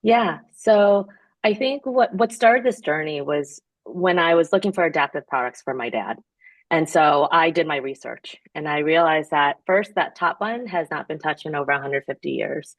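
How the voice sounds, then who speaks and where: Woman, very low voice, dry close sound, earnest, measured, founder guest. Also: no echo. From born in Korea, raised in the United States. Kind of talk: casual interview answers.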